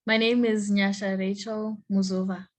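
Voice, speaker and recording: A young woman from Zimbabwe with a Zimbabwean accent, an interview guest who speaks haltingly and repeats her words. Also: mid-range voice, little pitch movement. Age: young adult. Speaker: guest, university student.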